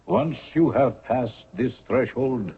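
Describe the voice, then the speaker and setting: Supporting radio role: grave temple high priest, medium pitch, incantatory and formal, tinny vintage broadcast sound. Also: male voice, takes it slowly, slightly rough. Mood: solemn, ceremonial. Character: solemn, ceremonial high priest of ancient Egypt. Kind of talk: 1970s radio drama acting. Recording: narrow phone-like vintage radio recording.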